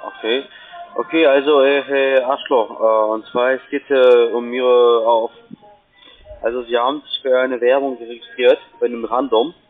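A man's voice: medium pitch, flat delivery, normal speed, soft background music. Man on a tinny phone line. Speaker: call-centre phone caller. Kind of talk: unscripted sales call.

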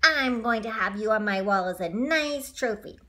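A woman's voice: a little high, animated, normal speed, pushed and bright. A woman voicing a mean, ruthless female animal hunter from a children's storybook, speaking in threats. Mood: menacing.

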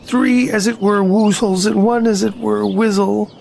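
A woman voicing a gentle, earnest, slow-witted male bear in a children's audio drama, musing aloud in a ponderous, solemn way. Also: medium pitch, fairly even intonation, vocal fry, a little hiss or hum. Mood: puzzled.

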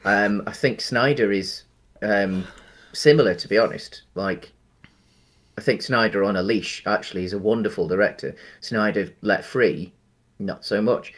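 A man's voice: on the low side, moderate pace. A man, co-hosting a casual film chat over a video call, rambling through restarts. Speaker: podcast co-host. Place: home room on a video call.

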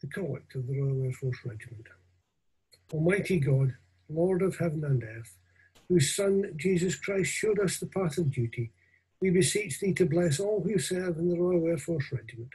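Older guy minister saying prayers, really husky breathy voice, soft mellow tone, solemn. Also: on the high side, low-quality recording. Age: 60s or older. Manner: solemn, reverent, deliberate. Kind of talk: formal prayer. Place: small room at home. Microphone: video call or webcam at desk distance.

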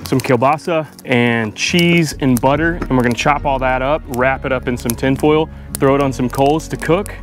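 A young man talking casually to his camera while camping, relaxed and chatty, with frequent laughs. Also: mid-range voice, moderate pace, faint music behind. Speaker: vlogger. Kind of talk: casual vlog narration. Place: in a tent and at a campsite in the woods. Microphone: handheld action camera.

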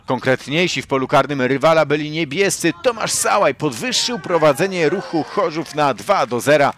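A man's voice: fairly high pitch, normal speed. A man reading a scripted voice-over for a youth football match report, lifting his voice at goals and chances. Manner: lively commentary, rising at goals and chances.

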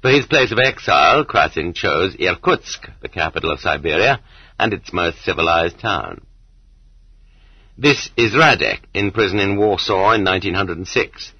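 A man narrating a non-fiction audiobook with measured, sardonic delivery and expressive emphasis, on dull lo-fi audio. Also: sits low, breathy edge, dry recording.

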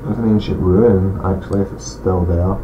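A man's voice: low-pitched, fairly quick. A man with an accent, casually thinking aloud as he plays a strategy game and comments on his moves. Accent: regional accent he says some may not follow. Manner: relaxed, thinking aloud, mumbled asides. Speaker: gaming channel host. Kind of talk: let's play commentary. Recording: dull lo-fi home mic with loud hiss.

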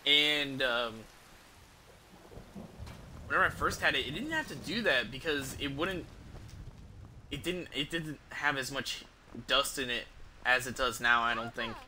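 A man's voice: medium pitch, lively intonation, a little slow, long pauses, pushed and bright, a little hiss or hum. A man in his thirties giving casual, sweary game commentary, thinking aloud as he plays on a stream. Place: indoors at home, streaming setup. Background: game music.

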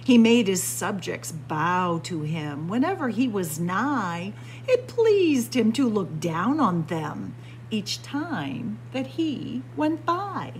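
Woman, storyteller, theatrical picture-book reading, very lively pitch, slow pace, light background noise. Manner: theatrical, deliberate storytelling delivery. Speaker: library storyteller. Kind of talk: expressive picture-book read-aloud. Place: indoor library room with bookshelves.

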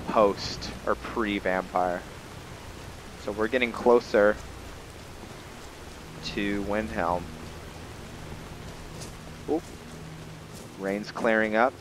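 A man giving unscripted, reflective roleplay gameplay commentary, thinking aloud in a halting, musing way. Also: on the low side, a bit bright, a little hiss or hum, poor audio. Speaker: gamer narrating a roleplay playthrough. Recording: game rain and thunder behind voice. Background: game ambience of rain and thunder.

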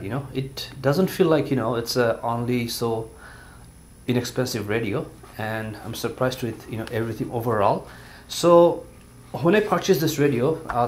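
A man giving a casual product review in his living room, talking in a halting, plainspoken way with many fillers, recorded on a camera set on a table. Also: fairly low pitch, slight background noise. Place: living room at home, indoors.

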